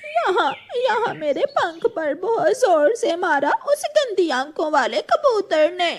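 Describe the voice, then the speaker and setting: A woman's voice: extremely high pitch, big pitch swings, slow, pitched above the performer's own voice, exaggerated voice. A woman voicing a quarrelsome, sly female crow in a children's cartoon, defiant and given to whining. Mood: tearful complaining. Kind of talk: children's cartoon story dub.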